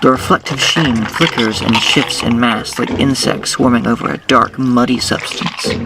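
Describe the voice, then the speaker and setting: A man's voice: medium pitch, fairly even intonation, normal speed, creaky. Male drama narrator, distant noisy mic. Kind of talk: scripted narration of an audio drama. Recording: noisy, distant-miked, with clicks.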